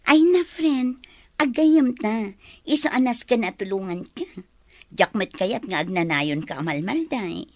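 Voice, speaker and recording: A woman's voice: fairly high pitch, animated, unhurried, darkish tone, no room sound. A woman playing a supportive, encouraging young friend in a radio drama, speaking expressively. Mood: welcoming. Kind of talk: Ilocano radio drama acting.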